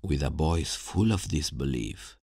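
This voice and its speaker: A man playing an embittered scientist turned supervillain in a dramatic ASMR roleplay monologue, telling his story in a brooding, deliberate, theatrical way. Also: a bass voice, lively intonation, vocal fry, darkish tone, breathy edge, no room sound, clean studio sound, heavily acted. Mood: grave, intense.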